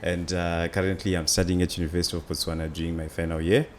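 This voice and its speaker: A young man with a Zimbabwean accent answers as an interview guest in an easy conversational, storytelling way. His voice is deep, and a light noise runs behind it. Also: little pitch movement, fast. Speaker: interview guest, student entrepreneur.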